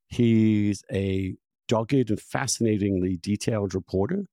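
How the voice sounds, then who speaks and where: A man hosting a conversational interview podcast, talking in an effusive, halting way and repeating words for emphasis. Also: on the low side, mellow, dry recording. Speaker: podcast host. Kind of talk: conversational interview with long personal introduction.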